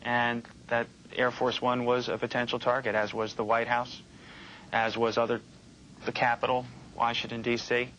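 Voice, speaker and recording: Guy controller getting interviewed, normal pitch, bit of noise. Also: level tone, moderate pace, a bit bright, poor audio. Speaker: air traffic controller. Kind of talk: interview answers. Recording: faint background noise.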